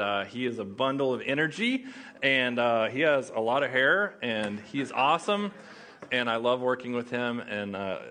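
A man's voice: on the low side, bright, forceful tone. A young man from Oklahoma with an Oklahoma accent, preaching as a guest pastor in a casual, joking way that chats with the audience. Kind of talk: sermon.

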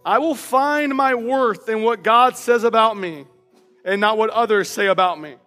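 A man preaching as pastor in a church, with a loud, passionate voice, reading personal declarations aloud with emphasis. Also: high-pitched, normal speed, in a large hall, faint music behind. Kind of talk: sermon. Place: church sanctuary.

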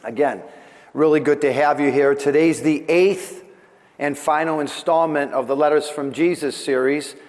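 A long reverb surrounds this man as he preaches to his congregation. His voice sits somewhat high for a man and sounds slightly off from a recent throat infection. He speaks deliberately and earnestly, leaving pauses for emphasis. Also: fairly even intonation, darkish tone. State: voice slightly off after strep throat. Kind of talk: sermon. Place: large church hall during a service.

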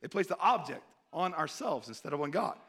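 A hoarse man preaching a sermon in an earnest tone, pausing to read scripture aloud. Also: on the high side, big pitch swings, a bit bright. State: hoarse, clearing throat.

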